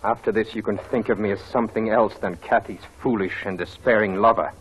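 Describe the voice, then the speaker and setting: A low-voiced brooding, bitter lover. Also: male voice, steady tone, a little fast, breathy edge. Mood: vengeful. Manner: intense, melodramatic. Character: brooding, bitter, passionate lover scorned by his beloved. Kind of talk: 1940s radio drama acting. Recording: noisy old radio broadcast.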